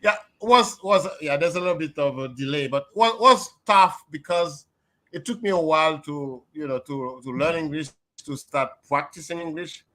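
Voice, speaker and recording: An older man from Haiti, a guest on a video call, speaking in a measured, reflective way with many fillers. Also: fairly high pitch, sharp tone, poor audio. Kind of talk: casual interview talk.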